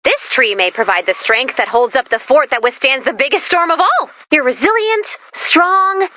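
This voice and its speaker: Casting: chirpy, anxious game robot sidekick, very high, dry studio sound, earnest with deadpan turns. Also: female voice, moderate pace, dry recording. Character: chirpy, anxious, eager-to-please hovering robot helper. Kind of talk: video game character voice lines.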